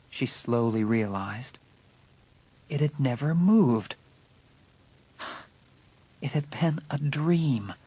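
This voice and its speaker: A man narrating a horror audiobook in a dramatic, suspenseful way with deliberate emphasis. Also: mid-range voice, darkish tone, breathy edge, no room sound.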